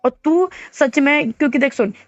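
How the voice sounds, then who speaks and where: A woman's voice: high-pitched, normal speed, no echo. A woman in an emotional, casual phone conversation, aggrieved and insistent, often repeating her points. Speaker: caller in a private phone conversation. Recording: dull lo-fi phone call recording, music behind.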